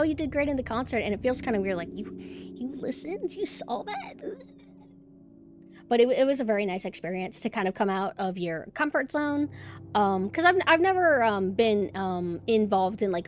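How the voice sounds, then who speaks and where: A woman streamer with a monotone voice, chatting casually and rambling with lots of fillers and restarts. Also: fairly high pitch, a little hiss or hum. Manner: rambling, self-deprecating, many fillers and restarts. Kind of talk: casual chat.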